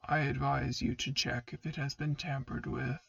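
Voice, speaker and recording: A woman voicing a stern, commanding male dark lord in a gravelly voice, speaking formally and tersely in a fan fiction audiobook. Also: rumbling low, fairly even intonation, dull audio, voice pushed down, heavily acted. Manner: formal, clipped, deliberate.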